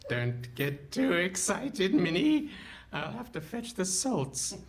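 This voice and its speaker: Woman as a slow, doddery old husband. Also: lowish voice, big pitch swings. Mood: doddery, bemused. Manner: slow, doddering, literal-minded. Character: doddery, befuddled old husband, fussily literal. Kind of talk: comic live radio play acting. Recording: noisy live hall stage recording.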